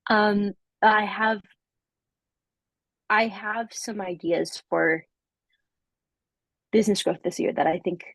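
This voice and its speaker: A young woman giving a coaching testimonial in casual conversation, halting and full of fillers and restarts, often laughing. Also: mid-range voice, fairly bright tone, no room sound.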